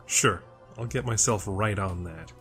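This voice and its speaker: A man's voice: fairly low pitch, unhurried, a bit bright, slightly rough, soft background music. Man playing a weary, distrustful protective brother. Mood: exhausted, sardonic. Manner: guarded, skeptical, weary. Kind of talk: audio drama acting.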